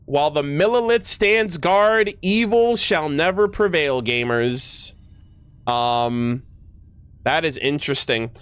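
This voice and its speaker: Slow-paced, higher-voiced man streaming games. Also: lively intonation, a bit bright, clean tone. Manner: enthusiastic, slangy, often stumbles over words. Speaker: streamer reacting to a video game. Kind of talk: casual gaming commentary mixed with reading text aloud.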